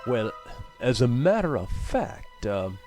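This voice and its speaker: A scheming, controlling husband in an audio drama. He speaks slowly and insistently, with wide swings of pitch, over music. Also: very animated, darkish tone. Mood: feigned sympathy. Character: TJ, defensive scheming husband covering up a death.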